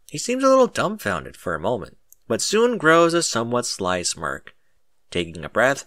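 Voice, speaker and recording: A man reading a first-person story aloud in an expressive, dramatic way. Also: lowish voice, dry recording, studio quality. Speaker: narrator. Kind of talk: first-person story narration with acted dialogue.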